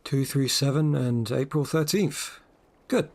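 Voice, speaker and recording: An actor playing a calm, assured, persuasive guide in a sci-fi audio drama, speaking in a low-key, coaxing way. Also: male voice, measured pace. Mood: gently persuasive. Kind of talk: full-cast audio drama acting.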